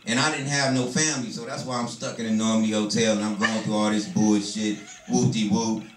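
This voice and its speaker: A man's voice: fairly low pitch, flat delivery, brisk. A man in his thirties from telling a loose, profane stand-up story, repeating "you know what I'm saying". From Detroit, USA. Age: 30s. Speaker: stand-up comedian. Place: small comedy club. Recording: roomy club sound from a distance, crowd noise.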